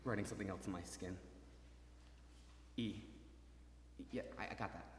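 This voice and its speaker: An actor playing a gravely wounded young soldier in a stage drama, halting, repetitive and pleading, moving between murmurs and cries. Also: male voice, low-pitched, fairly even intonation, lightly acted. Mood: concentrating. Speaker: actor in a stage adaptation of a radio play. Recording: roomy hall, distant mic, faint noise.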